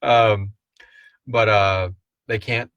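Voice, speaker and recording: A man's voice: fairly low pitch, fairly even intonation, drawn-out words, a bit bright, dry recording, dull audio. A man hosting a casual live question-and-answer chat, talking in a rambling, irreverent way with lots of "like". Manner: rambling, frequent "like". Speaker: host and writer of a horror audio drama.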